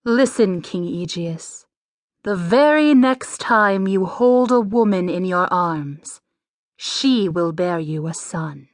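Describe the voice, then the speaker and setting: An actress playing a gracious, commanding sorceress in an audiobook drama, speaking formally and deliberately. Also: female voice, fairly low pitch, expressive, dry recording, clean studio sound. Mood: solemn, commanding.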